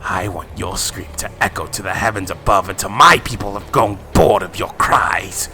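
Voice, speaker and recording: A man's voice: sits low, moderate pace, bright, forceful tone, soft background music, exaggerated voice. A man playing a sadistic alien villain in an anime fan drama, taunting and theatrical with menace. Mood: menacing, gloating. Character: sadistic alien villain with vengeful grudge, possessing a father's body. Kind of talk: anime fan-fiction voice acting.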